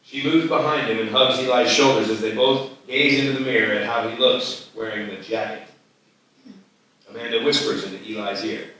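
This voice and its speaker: A man with a bright, forceful, mid-pitched voice narrates a screenplay from the script. The room is very echoey and he is a little away from the mic. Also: fairly even intonation, normal speed, far from the mic. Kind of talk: scripted stage-direction reading at live table read.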